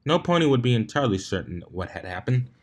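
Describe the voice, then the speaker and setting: A man's voice: medium pitch, big pitch swings, quick pace. Male story narrator, mid-range voice, reading from a script with occasional stumbles and retakes. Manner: stumbles over words and rereads them. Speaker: fan fiction reader, narrator.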